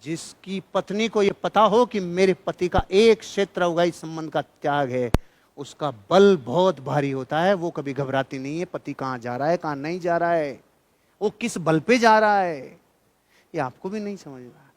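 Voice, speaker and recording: A man's voice: on the high side, moderate pace, a little hiss or hum. A man giving a motivational speech in an emphatic, admonishing manner, repeating phrases for effect. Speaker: motivational speaker. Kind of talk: motivational speech, preaching to an audience.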